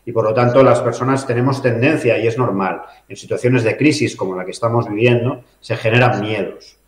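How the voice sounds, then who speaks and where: A man from talks through interview answers as a mayor. He is measured and reflective, full of small pauses and fillers, and his voice rings a little in an echoey room. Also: medium pitch. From Catalonia, Spain. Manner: measured, thoughtful, many filler "eh" and tag "¿no?".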